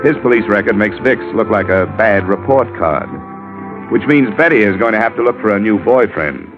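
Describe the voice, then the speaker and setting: An actor playing a wry, confident American insurance investigator in old-time radio style, clipped and matter-of-fact. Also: male voice, on the low side, animated, moderate pace, natural voice. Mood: wry, satisfied. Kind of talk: 1940s-50s radio detective acting and first-person narration. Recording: muffled vintage radio recording with music.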